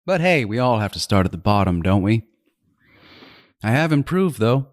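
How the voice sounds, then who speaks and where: A man's voice: fairly low pitch, big pitch swings, moderate pace, dry recording, studio quality. An actor playing a meticulous, self-styled artist serial killer in a horror audio drama, savoring his words theatrically with swooping emphasis. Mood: proud, reflective. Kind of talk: first-person horror audio drama monologue.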